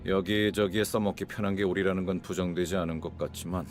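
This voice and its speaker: A man's voice: low-pitched, steady tone, very fast, over music, close to an everyday voice. An actor voicing a grave, skeptical man who muses grimly in a video game. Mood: grave, wary. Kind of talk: Korean game voice acting.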